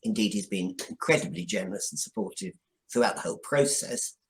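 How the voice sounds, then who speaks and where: A man speaking as an interview guest, telling an account conversationally with hesitant, halting starts. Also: on the low side, lively intonation, gravelly. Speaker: guest, scriptwriter. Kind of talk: interview answer, conversational storytelling.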